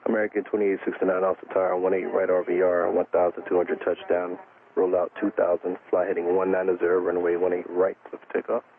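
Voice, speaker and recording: Man, tower controller, flat delivery, rapid clipped instructions, narrow radio sound with faint static. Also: low-pitched. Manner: matter-of-fact, formulaic. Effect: aviation radio. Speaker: airport tower air traffic controller.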